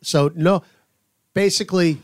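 A man giving casual sports opinions on a podcast as a commentator, emphatic and deliberate. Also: sits high, dry recording, studio quality.

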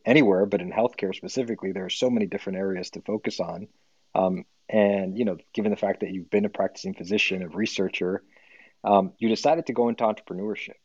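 A man's voice: low-pitched, darkish tone, no echo. A man hosting an interview, asking long, friendly questions with hesitations. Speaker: host, interviewer. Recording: dull lo-fi audio-room stream.

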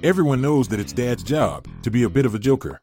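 Male announcer, medium pitch, comic ad script, soft music bed. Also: lively intonation, fast talker. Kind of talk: scripted comic promo narration.

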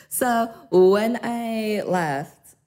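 A woman's voice: mid-range voice, lively intonation, extremely slow, a little mellow. A young woman with an American accent, raised in Hong Kong, chatting casually as an interview guest and laughing often. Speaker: interview guest, pole dance instructor.

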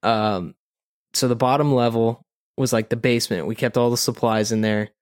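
A man's voice: mid-range voice, steady tone, moderate pace, dry recording, clean studio sound. A man co-hosting a podcast, telling a story in casual chat, rambling informally with plenty of "like" and "you know". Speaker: podcast co-host.

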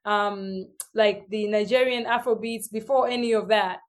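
Lively Tanzanian woman telling stories. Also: medium pitch, normal speed. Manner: lively storyteller, acts out what others say. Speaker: guest. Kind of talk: casual interview chat.

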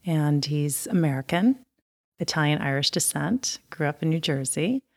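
A woman's voice: low-pitched, animated, normal speed, darkish tone. A middle-aged woman from Taiwan and Long Island telling her family story as an interview guest, chatty and laughing. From born in Taiwan, raised on Long Island.

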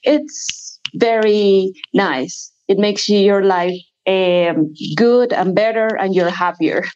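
A Colombian woman talks in slow, deliberate English. Her voice sits in a middle pitch. The call audio sounds dull and lo-fi. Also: darkish tone. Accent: Colombian Spanish. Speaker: virtual assistant, trained English teacher. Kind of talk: video call interview.

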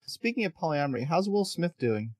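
A man's voice: on the high side, sing-song, fairly quick, a little mellow, dry recording, clean studio sound. A man hosting a podcast interview, chatty and playful, often laughing as he talks. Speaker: podcast host. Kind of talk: casual interview chat.

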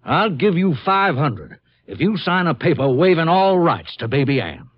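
A man's voice: on the high side, sing-song, no echo. An actor playing a desperate, protective car dealer and father, tense and indignant, in 1950s radio drama style. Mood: indignant, cornered. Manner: tense, indignant, halting when evasive. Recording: dull vintage radio recording.

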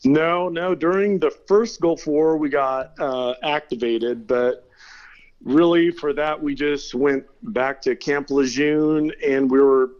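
Middle-aged man, from California, podcast guest, relaxed storyteller, muffled lo-fi sound. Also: fairly high pitch, takes it slowly. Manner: laid-back, laughs between stories. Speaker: interview guest. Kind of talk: casual storytelling.

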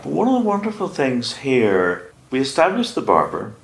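A man interviewing in a thoughtful, literary conversation, with measured formal wording and brief affirmations. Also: mid-range voice, huge pitch swings, low-quality recording.

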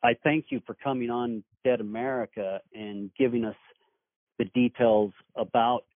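A man hosting a podcast, asking interview questions in a measured, earnest way. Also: fairly low pitch, no echo. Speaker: podcast host. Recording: dry, phone-like line.

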